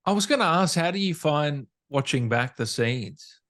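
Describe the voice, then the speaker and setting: A man's voice: on the high side, expressive, moderate pace, fairly bright tone, dry recording, studio quality. A man hosting a casual interview, agreeable and appreciative as he reads out questions in a conversational way. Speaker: podcast interviewer, host. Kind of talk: casual interview chat, reading viewer questions.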